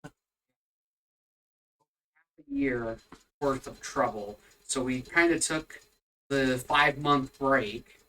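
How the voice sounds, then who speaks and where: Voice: medium pitch, takes it slowly, pauses often, sharp tone, distant mic, a little hiss or hum, low-quality recording. Rambling teasing podcast co-host, yawny and tired, sits a bit back from the mic at home. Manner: rambling, teasing, easily sidetracked. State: yawning, tired. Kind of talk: casual religious chat podcast. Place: home room with pets.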